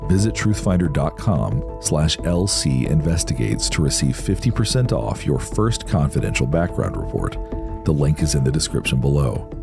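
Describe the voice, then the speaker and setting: A man's voice: rumbling low, big pitch swings, moderate pace, vocal fry, music in the background. A man reading scripted true-crime narration as the show's host.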